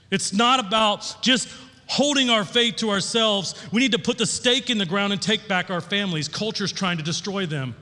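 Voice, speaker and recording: A middle-aged man delivers an informal sermon from a church stage. His voice sits high for a man, with a bright, forceful edge. He speaks candidly in a folksy storytelling style, and a long reverb trails his words through the hall. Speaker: pastor. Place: large church auditorium, on stage.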